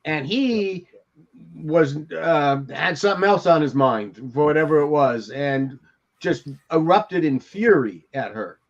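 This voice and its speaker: A man's voice: fairly high pitch, animated, a little slow. An older man in his seventies reminiscing casually on a video call through a headset. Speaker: show regular, former TV producer. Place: home room, video call. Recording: dull lo-fi video-call audio.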